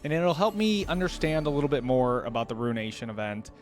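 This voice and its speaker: A man's voice: mid-range voice, very animated, fairly quick, faint music behind. A man giving casual, off-the-cuff reaction commentary as a video host, thinking aloud and poking fun at himself.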